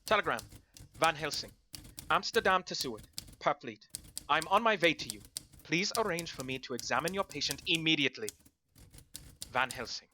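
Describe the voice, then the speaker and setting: A man playing a brisk, urgent doctor in an audio drama, reading out clipped, declamatory lines. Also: on the high side, animated, bright, forceful tone, slight background noise. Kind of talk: scripted radio drama acting.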